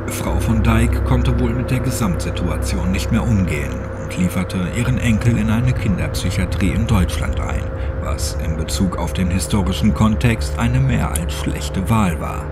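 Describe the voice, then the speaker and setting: A man reads a scripted horror audiobook in a measured, dramatic way, sometimes letting his voice turn breathy. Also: fairly low pitch. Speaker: horror story narrator. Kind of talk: scripted creepypasta audiobook narration. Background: music under the voice.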